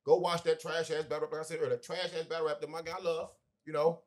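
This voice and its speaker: A man's voice: fairly high pitch, flat delivery, fast. A man in his forties co-hosting a podcast, talking emphatically and with plenty of swearing. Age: 40s. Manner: emphatic, profane, preachy. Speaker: podcast co-host. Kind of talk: casual chat.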